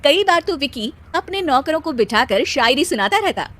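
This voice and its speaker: A woman's voice: sits high, sing-song, normal speed, bright, forceful tone. A woman narrating a scripted children's story.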